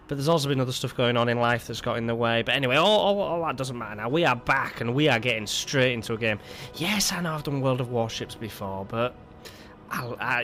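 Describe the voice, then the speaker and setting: A man's voice: medium pitch, fairly bright tone. A man hosting casual gameplay commentary, rambling with stumbling restarts and a lingering cough. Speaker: gaming video host.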